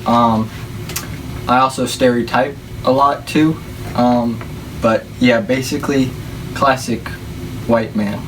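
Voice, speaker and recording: A man's voice: mid-range voice, steady tone, slow pace, long pauses, far from the mic, background noise. A man of twenty-one answering interview questions in a jokey, playful way.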